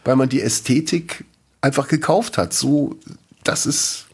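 A man co-hosting a podcast, chatting casually and telling rambling, animated stories with lots of restarts. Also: mid-range voice, a little slow, breathy edge. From Eifel region, Germany. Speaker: podcast co-host. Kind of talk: casual chat.

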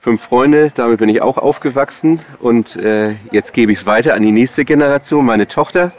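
A man's voice: medium pitch, normal speed. A man in his early fifties from Hamburg, casually asking a question as a fan. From Hamburg, Germany. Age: early 50s. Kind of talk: casual chat.

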